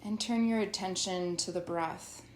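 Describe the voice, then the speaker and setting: A woman leading a guided yoga nidra meditation as a teacher, soothing and instructional, with long pauses. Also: fairly low pitch, roomy sound, slight background noise.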